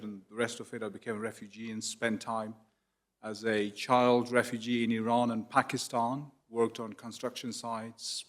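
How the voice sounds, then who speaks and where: A man from Afghanistan speaks as a candidate into a handheld mic in front of a theatre audience. His voice is mid-pitched, and his manner is earnest and personal, lightened by some self-deprecating humour. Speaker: parliamentary candidate. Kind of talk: candidate's opening statement. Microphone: handheld microphone.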